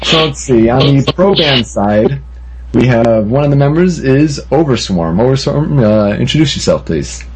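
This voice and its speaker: A man's voice: medium pitch, lively intonation, normal speed, a little hiss or hum, poor audio. A man hosting a gaming podcast, talking casually and often saying "you know". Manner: filler-heavy, frequent "you know". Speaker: podcast host.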